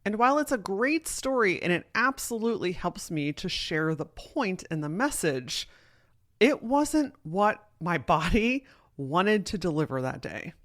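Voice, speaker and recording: Woman host, 30s, low deep voice, casual solo podcast storyteller who swears freely. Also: lively intonation, measured pace. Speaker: podcast host and keynote speaker.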